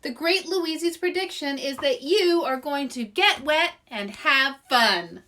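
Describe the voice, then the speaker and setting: A showy young female animal from a storybook, put on by a woman reading aloud. The voice is high and swoops with excitement. It makes grand, exuberant announcements. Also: takes it slowly, full character voice. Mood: grand, proud.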